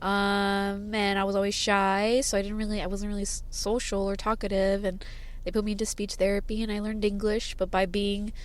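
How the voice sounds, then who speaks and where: A woman whose first language was sign language talks easily in a parked car, her voice smooth and clear, at a middle pitch. She rambles loosely through her story, full of "um" and "like" and quick laughs. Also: level tone. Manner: chatty, rambling, many fillers and laughs. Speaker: band singer-songwriter, guest. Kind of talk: casual interview chat.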